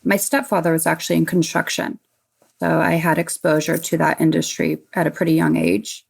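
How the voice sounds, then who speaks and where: A woman's voice: deep voice, little pitch movement, fairly quick, gravelly, breathy edge. A woman from Northern California talking casually about herself as an interview guest. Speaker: interview guest, renovation business partner.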